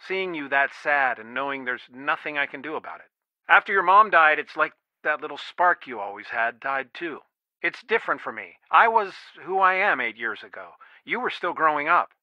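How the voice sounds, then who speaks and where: A man's voice: fairly high pitch, bright, forceful tone, no room sound. An actor playing a worried, protective middle-aged father in a video game, earnest and pleading, heard through a telephone filter. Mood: wistful, tender. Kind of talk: naturalistic video game dialogue, one side of a phone call. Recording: dry, band-limited phone-like sound.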